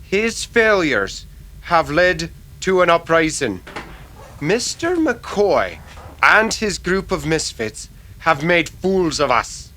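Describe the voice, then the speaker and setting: Guy doing a menacing old west outlaw boss, kinda high pitched, draggy and sharp, radio drama style. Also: sing-song, slow pace, exaggerated voice. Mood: seething.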